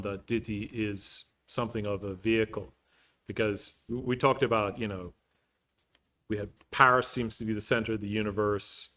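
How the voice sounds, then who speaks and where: A man leading a book club discussion in a museum meeting room, talking thoughtfully with pauses. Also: fairly low pitch, bright, forceful tone. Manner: thoughtful, storytelling, with frequent pauses.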